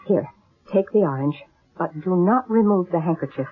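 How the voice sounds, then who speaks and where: A woman's voice: fairly low pitch, animated, normal speed, breathy edge. An actress playing a shrewd, middle-aged female fortune-teller and con artist, commanding and portentous, in old-time radio drama style. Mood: solemn, commanding. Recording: thin, narrow-band old radio recording.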